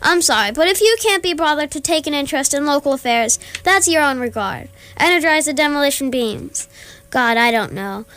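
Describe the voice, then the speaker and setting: Woman playing a high-voiced officious alien bureaucrat. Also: expressive, brisk, fairly bright tone. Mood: exasperated, scornful. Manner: officious, impatient, condescending. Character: officious, exasperated alien bureaucrat commander making an announcement. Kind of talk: amateur radio comedy acting.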